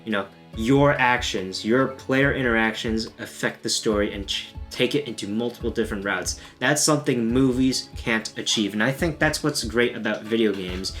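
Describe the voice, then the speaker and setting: A man's voice: medium pitch, normal speed, hardly any pauses, a bit bright, faint music behind. A man hosting a gaming video, talking in an informal, self-deprecating, joking way like a casual video essay. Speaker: host of a gaming video channel.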